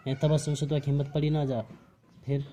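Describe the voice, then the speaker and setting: A man's voice: on the high side, flat delivery, quick pace, warm tone, a little hiss or hum. A man with a Mewati accent argues reproachfully in a private phone conversation, asking one pointed question after another. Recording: amateur phone call recording.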